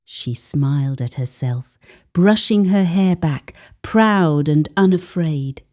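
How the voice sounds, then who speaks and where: A woman's voice: deep voice, expressive, takes it slowly, darkish tone. A woman narrating a folktale as a dramatic, scripted storyteller.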